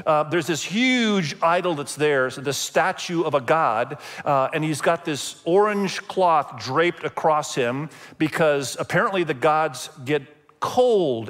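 Male pastor raised in Indonesia, slightly raised voice, preaching with chatty stories and dramatic pauses in a church hall with long reverb. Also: on the high side. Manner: conversational storytelling, emphatic pauses. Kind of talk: sermon. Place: church sanctuary.

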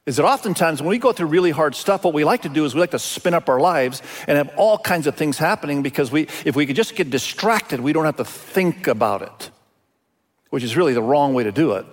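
A man from Indonesia, a native Indonesian speaker, preaching a sermon as a pastor in an emphatic way with deliberate pauses and repetition. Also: on the high side. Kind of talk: expository sermon. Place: large hall, speaking to a congregation. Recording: reverberant large hall sound.

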